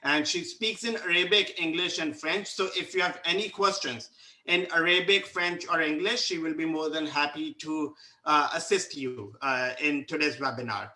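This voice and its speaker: Bright-voiced man hosting, echoey room. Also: on the high side, moderate pace. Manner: hesitant, many fillers and restarts. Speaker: webinar host. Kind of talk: hosting a company webinar.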